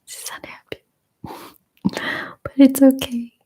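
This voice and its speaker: A young woman whispering softly in a breathy voice, a native Russian speaker chatting unhurriedly as she answers viewers' questions in an ASMR stream. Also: high voice, flat delivery. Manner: soft, unhurried, chatty, laughs often.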